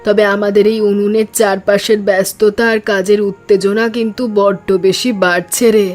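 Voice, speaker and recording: Slow, deliberate village auntie played by a woman. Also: medium pitch, flat delivery, a little mellow, faint music behind, natural voice. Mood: assured. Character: wise, older village auntie who gives cooking advice. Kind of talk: cartoon story dialogue.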